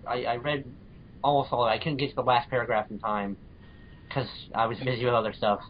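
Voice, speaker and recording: Mid-pitched man reviewing earnestly, dull lo-fi audio. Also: steady tone, fast, slight background noise. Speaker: podcast co-host and reviewer. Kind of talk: casual review chat.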